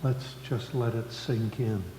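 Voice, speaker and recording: A man leading worship in a solemn sermon style, speaking deliberately in short phrases with long pauses. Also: medium pitch, steady tone, dark tone. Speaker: worship leader. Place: large congregation hall. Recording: echoey reverberant hall with background noise.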